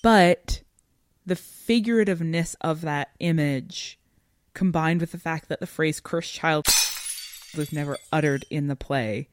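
A woman podcast host with a low-pitched voice talks to her audience as a co-host. The sound is dry, with very little room around her. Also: measured pace, dry recording. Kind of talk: podcast discussion and sign-off.

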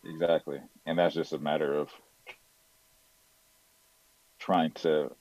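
A man with a very deep voice, talking casually as an interview guest about his music, full of "you know" and "like". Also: little pitch movement, takes it slowly, darkish tone, no echo, highs cut off. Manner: rambling, filler-heavy with "you know" and "like".

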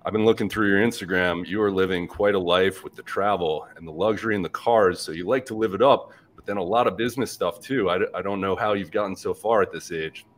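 A man's voice: low voice, fast, hardly any pauses. A man in his forties hosting a podcast, upbeat and enthusiastic as he introduces and questions his guest. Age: 40s. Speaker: podcast host.

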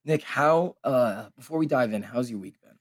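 A man hosting a podcast in casual, profane banter, his voice hoarse and a little raspy, often laughing. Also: mid-range voice, normal speed, no room sound, clean studio sound. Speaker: podcast host.

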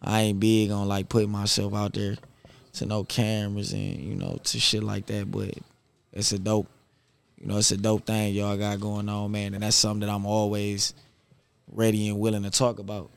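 Gravelly, low-voiced man in his thirties. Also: normal speed. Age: 30s. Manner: reserved, even-keeled, slangy. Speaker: podcast guest, boxer. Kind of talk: casual conversational interview.

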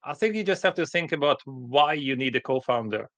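A man's voice: medium pitch, expressive, brisk, fairly bright tone, no echo, dull audio. A man from Hungary with a Hungarian accent, talking as an interview guest in short, plain-spoken, direct sentences. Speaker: podcast guest, company founder. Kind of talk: conversational interview storytelling.

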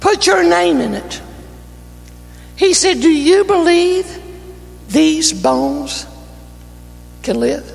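A man with a North Carolina Southern accent, an evangelist praying and preaching a fervent sermon that builds to shouting in a church. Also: very high-pitched, animated, extremely slow, gravelly, long pauses. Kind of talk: sermon with opening prayer. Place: church sanctuary. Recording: church hall reverb, faint music.

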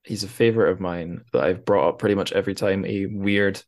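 A man's voice: low voice, steady tone, a little fast. A man hosting a casual book conversation, speaking informally and piecing his thoughts together as he goes. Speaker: podcast host. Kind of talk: casual conversational literary discussion.

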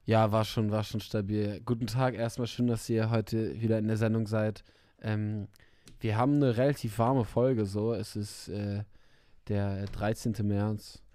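A young man co-hosting a podcast in casual chat, a bit hoarse from being ill, rambling and slangy. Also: on the low side, fairly even intonation, a little slow, warm tone, slight background noise. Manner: slangy, rambling, self-interrupting. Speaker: podcast co-host.

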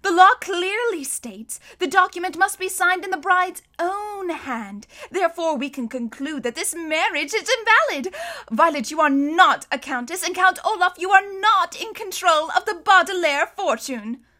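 A woman voicing a gentle, regretful female judge in an audiobook, speaking in a careful, measured way. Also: very high-pitched, lively intonation, pitched above the performer's own voice, full character voice. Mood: careful, resolute. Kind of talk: audiobook character voice.

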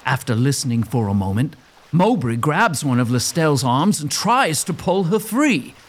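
A man's voice: a little high, very animated, moderate pace. A man narrating a gothic audio drama as a scripted story, in a theatrical, suspenseful manner.

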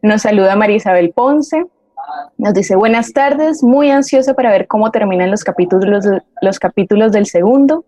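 Mellow Colombian woman, dry close sound. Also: mid-range voice, normal speed, no echo. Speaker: co-host reading audience comments. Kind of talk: live presentation, greetings and read-out messages.

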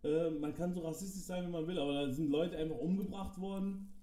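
A young man co-hosting a casual podcast chat, talking in slangy, relaxed street speech and joking around. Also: a little high, fairly quick, far from the mic, crowd noise. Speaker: podcast co-host. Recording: echoey room, set back from the mic.

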